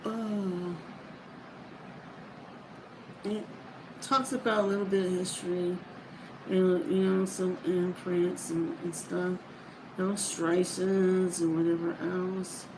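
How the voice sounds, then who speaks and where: Slow, rambling woman over clear background noise. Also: fairly low pitch, little pitch movement. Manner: informal, meandering, trailing off mid-thought. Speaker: reader of books for listeners. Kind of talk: casual rambling chat to viewers.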